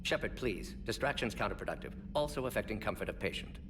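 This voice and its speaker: An actor playing a brisk, fussy male alien doctor in a video game, speaking in precise, clipped fragments. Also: lowish voice, expressive, music in the background, lightly acted. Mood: brisk, irritated. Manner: clipped telegraphic phrasing, rapid-fire, precise. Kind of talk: video game character acting. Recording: noisy game ambience, distant voice.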